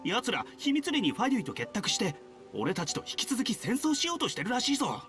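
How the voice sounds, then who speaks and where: Distrustful bitter soldier. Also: male voice, very high voice, wildly swooping pitch, racing, fairly bright tone, soft background music, exaggerated voice. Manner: distrustful, grumbling. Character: suspicious, bitter male soldier. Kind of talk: Japanese game dub.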